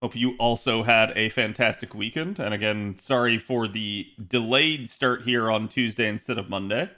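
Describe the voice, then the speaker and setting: A man chatting casually with his live-stream viewers. His voice is a little hoarse and raspy, and he rambles, correcting himself often between fillers. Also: lowish voice, normal speed, sharp tone. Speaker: game streamer. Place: home.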